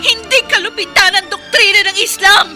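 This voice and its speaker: An impassioned young believer. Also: female voice, very high-pitched, moderate pace, over music. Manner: intense, pleading delivery. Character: impassioned young woman defending her faith. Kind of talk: radio drama acting.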